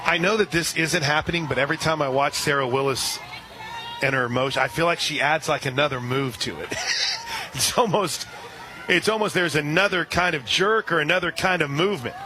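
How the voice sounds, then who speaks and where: A man calling a softball game live on radio, steady between pitches and surging loud and high on big plays. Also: brisk, low-quality recording. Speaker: radio play-by-play announcer.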